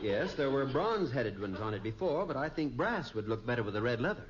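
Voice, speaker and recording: An actor playing a polite, patient upholsterer in an old radio comedy, hesitant and a bit confused. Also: male voice, medium pitch, sing-song, a little fast, natural voice. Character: polite, puzzled male furniture upholsterer. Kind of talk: 1950s radio comedy acting. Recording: dull old radio recording, loud hiss and clicks.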